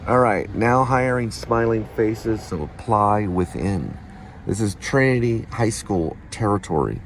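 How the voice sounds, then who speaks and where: Middle-aged man, low voice, casual rambling vlog talk, reminiscing, vehicle noise behind. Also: lively intonation, unhurried. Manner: wandering, reminiscing, spells things out. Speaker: vlogger and amateur playwright.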